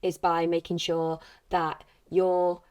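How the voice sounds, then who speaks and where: A British woman with a slightly low-pitched voice speaks conversationally, as in an interview. She comes across as an earnest, encouraging coach offering advice to people in her field. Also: level tone, slow pace, darkish tone, clean tone, no room sound. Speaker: actor and acting coach.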